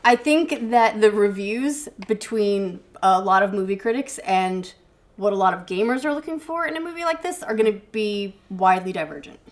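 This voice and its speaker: A woman chatting casually as a film review panel host, laying out details in a measured, informative way. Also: medium pitch, expressive.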